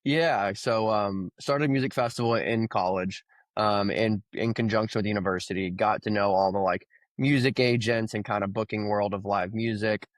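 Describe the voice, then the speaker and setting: Low-voiced man from Oklahoma telling his story. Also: moderate pace, no echo. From Oklahoma, USA. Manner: relaxed, rambling storytelling with many fillers. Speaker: guest, crypto founder. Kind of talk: casual conversational interview.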